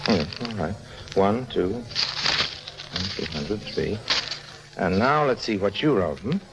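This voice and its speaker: A man's voice: lowish voice, very animated, takes it slowly, noisy background, heavily acted. An actor playing a brash, shady man who narrates his own 1950s radio noir story in a wry, slangy, offhand way. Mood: sly, playful. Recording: vintage 1950s radio drama.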